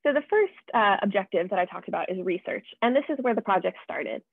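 Creaky-voiced American woman presenting. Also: mid-range voice, lively intonation, fast talker, no room sound. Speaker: project presenter. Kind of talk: informal slide presentation. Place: indoors at home, on video call. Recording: muffled, band-limited video call audio.

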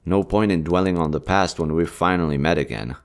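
A man voicing a gentle, smitten army general in a romantic roleplay audio, tender, earnest and a little hesitant. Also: low voice, brisk. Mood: earnest, reassuring. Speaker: voice actor.

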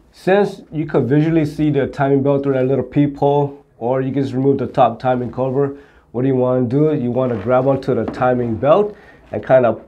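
A man's voice: mid-range voice, warm tone. A middle-aged man explaining car repair to camera in a plain-spoken, step-by-step way. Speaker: auto repair instructor. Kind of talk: hands-on instructional explanation to camera.

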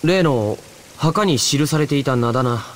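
A man's voice: mid-range voice, lively intonation, unhurried, a little hiss or hum, close to an everyday voice. A man playing a serious, curt, level-headed young man in an anime-style Japanese game. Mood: concerned.

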